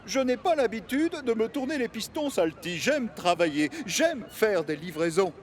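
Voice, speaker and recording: Lively, high-voiced friendly cartoon locomotive. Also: male voice, normal speed. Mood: restless, wounded. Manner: expressive, good-natured. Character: friendly, sensitive male foreign locomotive, keen to help. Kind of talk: French-language children's cartoon dub. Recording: music behind the voice.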